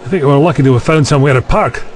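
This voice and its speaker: A man's voice: on the high side, rapid-fire, close to an everyday voice. A young man playing a worried, practical sidekick in an audio drama.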